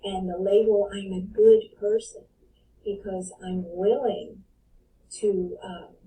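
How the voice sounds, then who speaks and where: Woman guest coach: very dark, mellow voice, emphatic and repetitive, heard from a distance on the mic. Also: medium pitch, steady tone, slow. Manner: emphatic, builds points by repetition. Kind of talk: reflective spiritual talk. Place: online live audio room.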